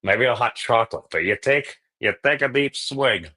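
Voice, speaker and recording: A man's voice: mid-range voice, lively intonation, moderate pace, sharp tone. A man hosting a livestream in a casual, rambling chat, jokey and self-deprecating as he drifts into digressions. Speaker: livestream host.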